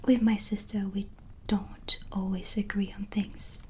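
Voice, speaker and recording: Slow, whispery gentle witch played by a woman. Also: medium pitch, flat delivery, natural voice. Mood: calm, musing. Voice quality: soft, breathy, near-whisper. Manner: slow, hesitant, soothing. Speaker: ASMR roleplay performer. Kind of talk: soft-spoken ASMR roleplay. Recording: soft music behind. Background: relaxing music and ambience.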